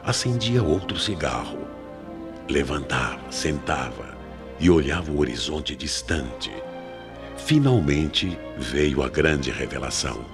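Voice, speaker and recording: A man with a Brazilian accent narrating a scripted radio mystery in a measured, suspenseful way. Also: very deep voice, big pitch swings. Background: dramatic music.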